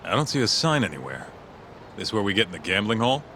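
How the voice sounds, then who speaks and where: Brash easygoing sidekick, low voice, really swingy, slangy, game cutscene w some noise. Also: male voice, big pitch swings, fast talker. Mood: casual, curious. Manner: casual, slangy. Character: brash, easygoing male tough-guy sidekick. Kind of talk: video game cutscene acting.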